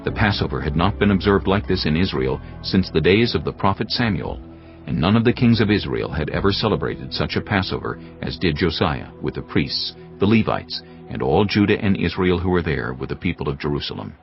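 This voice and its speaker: A man narrating scripture from a script in a solemn, measured storytelling manner. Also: low-pitched, lively intonation. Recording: dull band-limited sound, music underneath. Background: clear background music.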